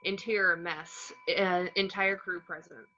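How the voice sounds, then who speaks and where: A woman's voice: lowish voice, fairly even intonation, a little slow, pushed and bright, faint music behind. A woman reading out screenplay scene descriptions as the narrator of a script table read. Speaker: reader of the action description. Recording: dull, lo-fi sound.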